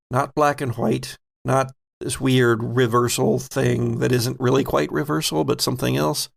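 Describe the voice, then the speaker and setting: A man running a tabletop horror game as game master, narrating dramatically in deliberate short phrases with pauses. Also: mid-range voice, gravelly.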